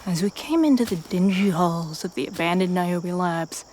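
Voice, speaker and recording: A woman reading a first-person story as a dramatic narrator, with intense pauses and hushed, breathy phrases. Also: fairly low pitch, slight background noise. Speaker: narrator of a fan fiction audio story.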